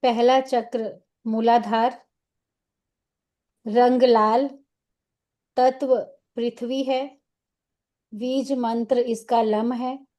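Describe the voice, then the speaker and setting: Slow, mellow woman guiding meditation. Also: on the high side, level tone. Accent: Indian. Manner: soothing, unhurried, instructing in short phrases with pauses. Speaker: guided meditation teacher. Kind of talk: guided meditation.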